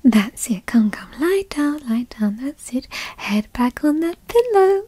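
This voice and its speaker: A woman playing a doting, possessive yandere girlfriend who teases and coos in a soft, breathy ASMR roleplay whisper, close to the mic. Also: sits high, sing-song, no echo. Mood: tender coaxing. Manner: slow, breathy, cooing, teasing. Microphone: close microphone, dry.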